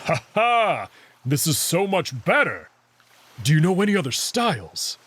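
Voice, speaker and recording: A man's voice: on the high side, very animated, measured pace, a bit bright, husky, pitched above the performer's own voice, full character voice. A man voicing a big, proud, teasing young male wolf in a visual novel. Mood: excited, happy. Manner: teasing, confident. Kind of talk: visual novel character voice acting.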